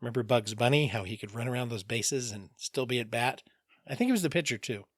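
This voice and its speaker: A man hosting a casual solo mental health podcast, his voice a bit raspy, rambling with jokey asides and self-corrections. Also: medium pitch, lively intonation, fast talker, dry recording, clean studio sound. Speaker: podcast host, therapist.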